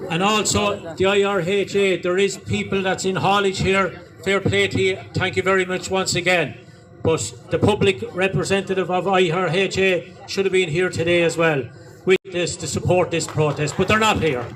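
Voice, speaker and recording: A man's voice: high voice, steady tone, moderate pace, a little hiss or hum. An Irish man giving a rousing, repetitive speech to a crowd at a street protest. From Ireland. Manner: rousing, repetitive, rising to shouts. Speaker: protest speaker. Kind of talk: public address to a crowd.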